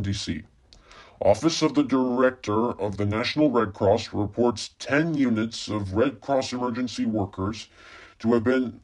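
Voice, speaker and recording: A man playing an earnest radio news announcer in a radio play, formal and measured. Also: medium pitch, darkish tone, natural voice. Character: earnest male radio news announcer breaking grave bulletins. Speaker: amateur radio play performer. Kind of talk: radio news bulletin acting.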